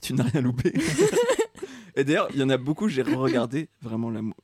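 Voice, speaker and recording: A young man hosting a casual chat, relaxed and self-mocking, laughing often. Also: mid-range voice, a little fast, husky, dry recording. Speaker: host. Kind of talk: casual chat, reading his old comments aloud.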